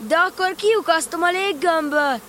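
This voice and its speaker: A woman's voice: very high voice, fairly bright tone, slight background noise. A woman playing a trusting, innocent little boy in an audio drama, speaking simply and helpfully. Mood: helpful, puzzled. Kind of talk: audio drama storybook acting.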